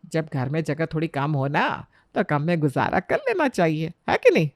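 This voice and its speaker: A woman's voice: deep voice, huge pitch swings, moderate pace, dry recording, pitched below the performer's own voice. A woman acts out a middle-aged Indian mother-in-law in a family storybook tale. She is fussy and worried, chiding and emphatic in every phrase. The recording is close and dry. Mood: bossy reassurance.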